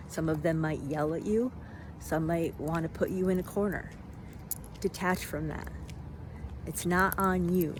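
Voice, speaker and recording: A woman giving an unscripted motivational monologue in a reflective way, in halting phrases with deliberate pauses. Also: low-pitched. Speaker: vlogger giving self-help reflections. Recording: noisy, vehicle-like ambient sound.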